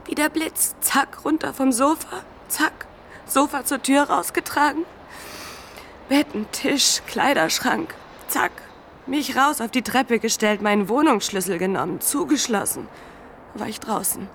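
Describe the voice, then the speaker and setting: An anxious, plaintive widow who has lost her home in a radio crime drama. Her female voice is a bit high, with plain, clipped phrases, recorded with some background noise. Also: measured pace, a bit bright. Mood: agitated, aggrieved. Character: anxious, plaintive newly homeless widow, reluctant and naive.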